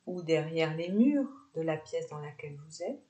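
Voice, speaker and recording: A woman leading a guided yoga nidra relaxation, giving unhurried, soft instructions with pauses between phrases. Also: low voice, lively intonation.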